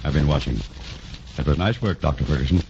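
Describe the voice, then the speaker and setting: An actor playing a grave, gracious senior doctor in a 1940s radio drama. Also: male voice, rumbling low, fast talker. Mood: humbled, grateful. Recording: dull, noisy 1940s radio recording.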